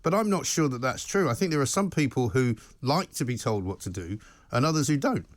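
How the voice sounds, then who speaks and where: A middle-aged man hosting a studio talk show, brisk and wry, agreeing with his guest. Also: medium pitch, animated, no room sound.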